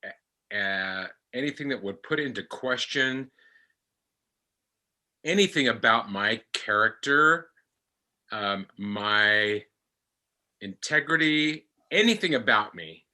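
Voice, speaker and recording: Halting man with a sharp, forceful voice. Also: fairly low pitch, animated. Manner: halting, deliberate. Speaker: podcast actor explaining his character. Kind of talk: casual panel discussion.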